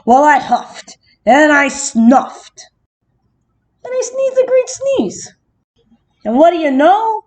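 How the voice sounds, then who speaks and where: A woman's voice: sits high, big pitch swings, slow, long pauses, a little mellow, full character voice. A woman voicing a self-justifying, slyly innocent male wolf who tells his side of a children's story with chatty, dramatic indignation. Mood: confiding. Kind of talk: first-person children's storybook narration.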